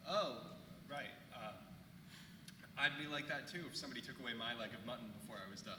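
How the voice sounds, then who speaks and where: A man's voice: medium pitch, quick pace, natural voice. A man acting an awkward, easygoing villager in a staged play reading, hesitant and stammering, with slangy casual speech. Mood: awkward, flustered. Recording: echoey hall, far mic, noisy.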